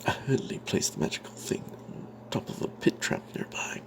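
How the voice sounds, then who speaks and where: A man telling a fantasy tabletop tale as whispered ASMR, in a breathy, halting way. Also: noisy background.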